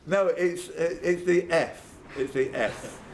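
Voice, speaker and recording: A man's voice: a little high, slow pace, vocal fry. A man hosting a live pub quiz, reading out questions with jokey, off-the-cuff banter and laughing at his own jokes. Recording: live pub room with background noise.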